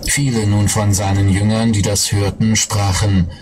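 Deep-voiced man reading scripture solemnly. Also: flat delivery, moderate pace, noisy background. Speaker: scripture reader. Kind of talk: recorded Bible reading.

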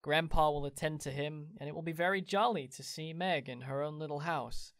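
A man playing a cheerful young gentleman. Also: a little high, fairly quick, dry recording, clean studio sound, natural voice.

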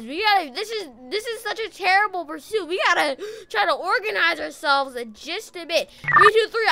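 A speaker giving excited, slangy gameplay commentary and urgent police roleplay into a close microphone. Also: extremely high pitch. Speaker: gaming video host playing a police officer.

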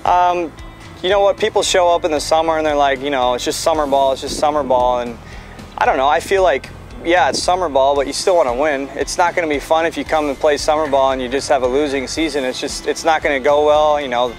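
A man's voice: fairly high pitch, fairly quick, soft background music. A young man, a summer-league baseball player, talking casually and rambling with fillers in an outdoor ballpark interview. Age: young adult.

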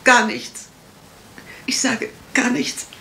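A woman's voice: on the high side, sing-song, slow, bright, forceful tone, exaggerated voice. An actress playing a middle-aged mother in service, deferential yet defensive and protective, in a German radio crime drama. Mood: shaken.

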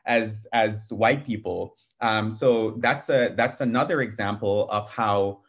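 A man's voice: on the low side, slow, fairly bright tone, husky. A young man on a video call with a headset mic, speaking earnestly as a panel speaker, with frequent ums. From Canada. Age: young adult. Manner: earnest, fluent with many ums. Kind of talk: webinar talk. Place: small room at home.

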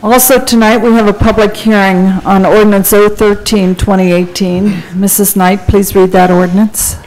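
A woman's voice: mid-range voice, normal speed, low-quality recording. A woman council president chairing a meeting, with procedural, businesslike phrasing. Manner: procedural, even delivery. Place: council chamber.

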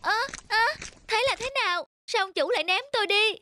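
Casting: woman as tiny self-pitying key for kids' animation, extremely high, bright, plaintive delivery. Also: fairly quick, a little hiss or hum. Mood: shocked, dismayed. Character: small talking key, self-pitying, envious, easily dismayed. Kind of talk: children's cartoon dubbing.

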